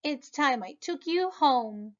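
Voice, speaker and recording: Woman reading as a little girl, high, excited, storybook voice. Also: slow, lo-fi sound. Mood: eager.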